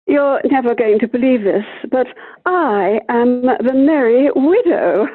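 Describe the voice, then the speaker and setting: Woman playing a gushing society lady, slow affected drawl, phone-like sound, proud, theatrical, radio play. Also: high voice, animated, husky, exaggerated voice. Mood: gleeful, boastful. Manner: affected, effusive, drawn-out darlings. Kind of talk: amateur radio play acting. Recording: tinny, phone-like video call line.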